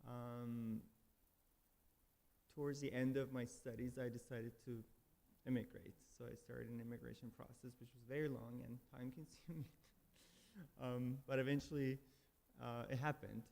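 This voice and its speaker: A man's voice: mid-range voice, level tone, moderate pace, gravelly, pauses often, a little mellow. A man from Iran, speaking as a guest musician at a service, hesitant with many fillers and restarts. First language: Persian. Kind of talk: casual spoken introduction. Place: church sanctuary. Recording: reverberant hall.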